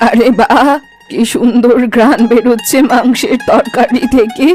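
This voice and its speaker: Lady doing old village granny for a cartoon, grumbly, highish voice, bit of music under. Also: fairly even intonation, moderate pace, a little mellow, natural voice. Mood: pleased, musing. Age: older. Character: old village granny living alone, fond of food, grumbling. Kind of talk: Bengali cartoon voice acting. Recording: music behind the voice.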